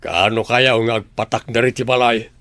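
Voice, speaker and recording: A man's voice: mid-range voice, little pitch movement, normal speed, a bit bright, dry recording, natural voice. A man acting an indignant, proud older father with a Filipino accent in an Ilocano radio drama. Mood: probing. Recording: dry studio with music underneath.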